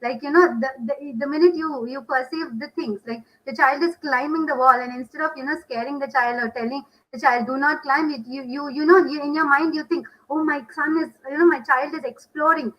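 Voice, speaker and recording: A woman's voice: high-pitched, steady tone, fairly quick, hardly any pauses, a bit bright. A woman speaking as a parent guest on a panel, answering conversationally and earnestly, repeating herself and often saying "you know". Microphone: video call. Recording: dull lo-fi video call audio.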